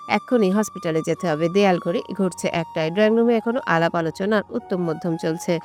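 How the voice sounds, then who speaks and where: A woman narrates a dramatic scripted story. Her voice sits at a middle pitch, and background music plays under her reading. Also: darkish tone.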